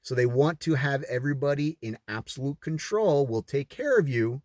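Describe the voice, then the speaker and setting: A man's voice: mid-range voice, lively intonation, moderate pace, a little mellow, dry recording, clean studio sound. A man reading aloud and adding his own off-the-cuff commentary, with emphatic, rhetorical delivery. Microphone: recording himself on camera.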